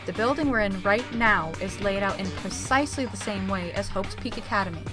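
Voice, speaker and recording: A woman voicing a calm, secretive teenage girl investigator, matter-of-fact, in an anime game fan dub. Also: mid-range voice, fairly quick, over music, close to an everyday voice. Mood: cool, detached.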